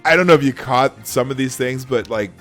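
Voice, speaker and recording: A man's voice: mid-range voice, fairly quick, fairly bright tone. A man hosting a casual livestream, rambling and correcting himself as he reads comments aloud. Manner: rambling, self-correcting, chuckling. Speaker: livestream host. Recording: background music under voice.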